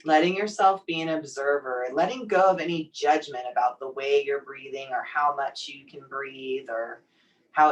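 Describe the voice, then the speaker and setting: Woman doing guided meditation, really slow and soothing, gently encouraging, sounds a bit far from the mic. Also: extremely low pitch. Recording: distant microphone pickup.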